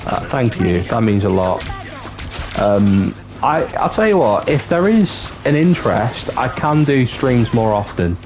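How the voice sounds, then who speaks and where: A young man in his twenties from North Wales chats casually with viewers on a livestream, halting and restarting often. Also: medium pitch, animated, a little mellow. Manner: chatty, halting, with many restarts. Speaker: streamer. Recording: game music clearly behind the voice. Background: game music.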